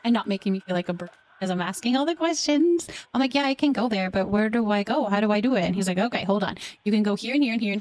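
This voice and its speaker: A woman's voice: medium pitch, animated, fast talker, few pauses, a little mellow, dry recording. A Canadian woman hosting a casual livestream, reading out and answering comments in an affectionate, encouraging way. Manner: affectionate, encouraging, addresses listeners with endearments. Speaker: livestream host.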